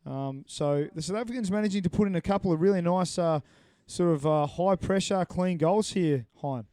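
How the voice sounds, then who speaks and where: A man's voice: high-pitched, moderate pace, dark tone, no room sound. A man commentating a live match with upbeat, jokey analysis and frequent fillers. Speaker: sports commentator.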